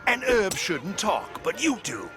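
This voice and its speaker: An actor playing a manic, cackling villain clown, theatrical and mocking, with sinister drawn-out taunts. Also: male voice, sits high, very animated. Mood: gleeful menace. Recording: game music behind the voice.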